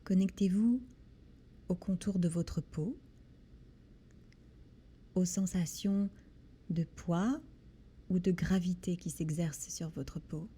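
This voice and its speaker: A woman leads a guided body scan meditation in a soothing, gentle manner, with deliberate pauses between short phrases. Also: on the low side. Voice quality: soft, breathy. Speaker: guided relaxation instructor.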